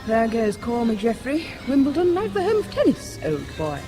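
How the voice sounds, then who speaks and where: An actress playing a chatty, affectionate wife in an audio drama, with a playful, teasing manner. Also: female voice, a little high, huge pitch swings, moderate pace, music in the background. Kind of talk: spy radio drama acting. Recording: noisy scene ambience.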